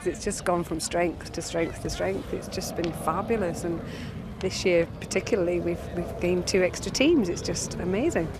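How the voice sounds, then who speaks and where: A woman's voice: lowish voice, moderate pace, hardly any pauses. A woman in her forties talking casually in an interview as a club coach. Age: 40s. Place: open grass playing field. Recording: noisy outdoor field.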